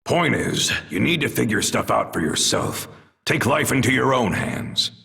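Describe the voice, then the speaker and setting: An actor playing a stern, seasoned warrior mentor in a video game, gruff and blunt as he instructs. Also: medium pitch, animated, unhurried, bright, forceful tone, long reverb tail, far from the mic, heavily acted. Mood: stern, instructive. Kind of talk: video game cutscene voice acting.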